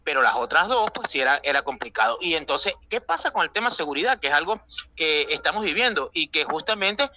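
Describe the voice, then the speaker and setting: A man's voice: on the high side, very animated, a little fast, pushed and bright, muffled recording, slight background noise. A man with a Venezuelan accent, an international analyst giving emphatic, rambling political commentary with many fillers, calling from an airport. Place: airport waiting area.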